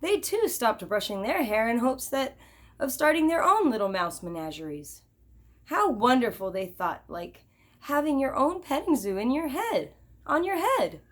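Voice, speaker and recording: A woman's voice: high voice, sing-song, normal speed, a bit bright. A woman teacher reading a children's picture book aloud expressively, with chatty asides to her listeners.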